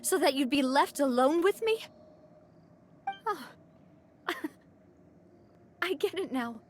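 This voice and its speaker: A woman's voice: high-pitched, animated, takes it slowly, slight background noise. An actress playing a caring, decisive young woman boss in a video game, earnest and commanding. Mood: shocked realisation. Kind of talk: dramatic video game voice acting.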